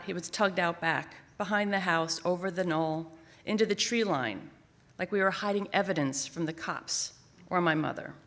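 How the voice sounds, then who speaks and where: A woman reads her own memoir piece live on stage. Her delivery is expressive and deliberate, with a mid-range voice that carries through a long hall reverb. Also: medium pitch. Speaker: writer reading own essay. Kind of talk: live dramatic personal-essay reading. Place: theater stage at a library, live event. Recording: echoing theater with long reverb.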